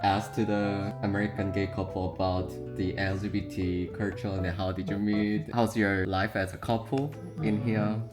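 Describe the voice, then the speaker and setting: A man's voice: on the low side, flat delivery, normal speed, hardly any pauses, over music. A young man with a Korean accent, chatting and teasing in a casual vlog with frequent laughter. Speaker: vlogger. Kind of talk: casual chat.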